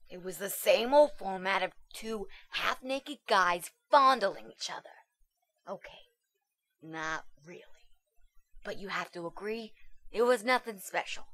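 A woman's voice: lowish voice, fairly bright tone, exaggerated voice. A woman voicing a brash, crude female pro wrestler, who tells her story with wry, irreverent asides. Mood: cocky. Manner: drawling, sarcastic asides. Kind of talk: scripted web-show monologue.

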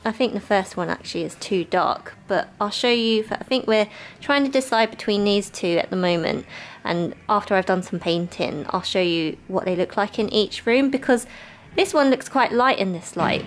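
A woman's voice: medium pitch, normal speed. A British woman in her thirties chatting casually and informally to camera as a home vlogger, rambling and correcting herself as she goes. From United Kingdom. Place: bare rooms of a house under renovation, indoors. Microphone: handheld camera at arm's length. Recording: echoey empty rooms.

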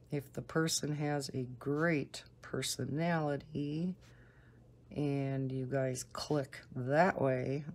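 Slow, low-voiced Northern American woman. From northern United States. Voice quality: low, soft voice. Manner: unhurried, deliberately slow talking. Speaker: coloring video host. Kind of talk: casual rambling chat while coloring.

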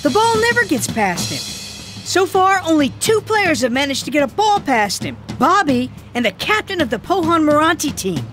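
An earnest young boy explains things to his football team in a cartoon. The voice is high and lively, with light music playing behind it. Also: normal speed. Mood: serious, informative. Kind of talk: animated cartoon dialogue. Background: background music.

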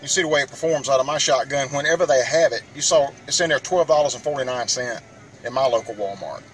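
A man's voice: mid-range voice, quick pace, soft background music, poor audio. Folksy middle-aged man. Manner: folksy, addressing viewers directly. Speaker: outdoors and shooting vlogger. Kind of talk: casual how-to vlog talk.

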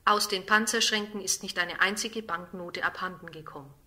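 A woman playing a formal, factual radio newsreader in an audio drama, with measured delivery. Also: on the low side, sharp tone. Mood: formal, neutral. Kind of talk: radio news reading.

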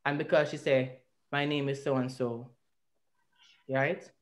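A man's voice: medium pitch, flat delivery, slow. Earnest Jamaican man on a video call. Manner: earnest, reflective, speaks at length with rising emphasis. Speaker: artist and interview guest. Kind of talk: personal storytelling in an interview. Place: indoor home room. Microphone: video call webcam.

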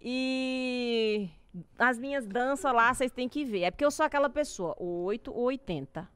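A woman in her twenties with a drawling way of talking, telling stories as a guest in a small podcast studio on a boom mic, lively, slangy and animated. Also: normal speed, clear, resonant tone, no room sound. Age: 20s. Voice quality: drawling speech. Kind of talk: casual storytelling chat. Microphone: studio boom mic with headphones.

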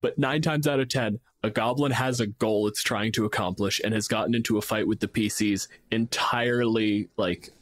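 A man's voice: fairly low pitch, steady tone, moderate pace, hardly any pauses. A man co-hosting a casual gaming podcast as a game master, explaining his reasoning with acted-out examples. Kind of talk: casual tabletop gaming discussion.

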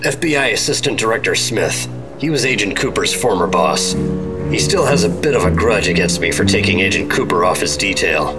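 An American man playing a dry, unflappable 1950s secret agent, narrating and talking in a measured noir radio drama. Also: low-pitched, lively intonation. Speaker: narrator and lead actor. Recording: music under the voice.

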